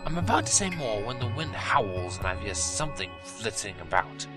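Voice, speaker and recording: Man reading a story over loud music. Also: lowish voice, big pitch swings, normal speed. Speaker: narrator. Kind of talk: first-person story reading.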